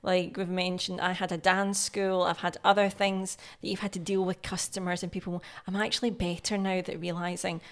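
A woman's voice: lowish voice, flat delivery, a little fast, hardly any pauses. Chatty Scottish woman co-hosting a podcast. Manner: chatty, reflective, affirms often. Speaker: podcast co-host. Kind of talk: casual business chat.

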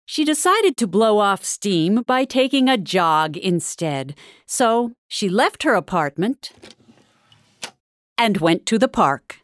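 A woman narrating a storybook tale from a script in a dramatic, deliberate manner. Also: mid-range voice, very animated, no echo, clean studio sound.

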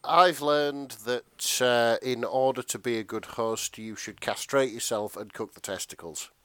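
A man's voice: mid-range voice, unhurried, a bit bright, clear, resonant tone, no room sound. A man co-hosting a comedy podcast, chatting casually with a dry, joking manner. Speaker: podcast co-host. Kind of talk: casual comic banter.

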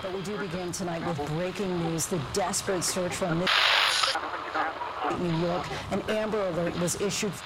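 A voice delivering a breaking news report as a composed evening news anchor, with crisp formal delivery. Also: mid-range voice, steady tone, moderate pace, natural voice. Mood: urgent. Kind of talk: broadcast news reading. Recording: noisy radio broadcast.

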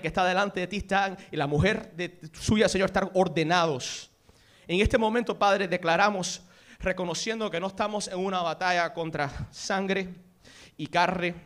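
A man's voice: high-pitched, fairly bright tone. Fervent man preaching in a church. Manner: mixes in English words, intense rising prayer. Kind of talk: church sermon and prayer.

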